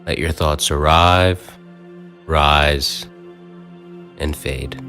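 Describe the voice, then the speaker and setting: Very deep, slow meditation guide. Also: male voice. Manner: calm, soft, unhurried instructions with long pauses. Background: faint new-age music.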